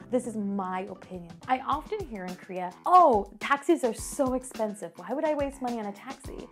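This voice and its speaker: A woman, hosting a casual opinion vlog to camera in a chatty, emphatic way. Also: a little high. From Canada. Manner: chatty, emphatic, upbeat sign-off. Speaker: vlogger host.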